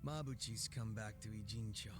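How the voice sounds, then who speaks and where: An actor playing a laid-back, sly gangster boss with a soft heart in a video game, speaking in a casual, teasing drawl. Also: male voice, lowish voice, moderate pace, over music, lightly acted. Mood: casual, wry. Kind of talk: video game cutscene acting.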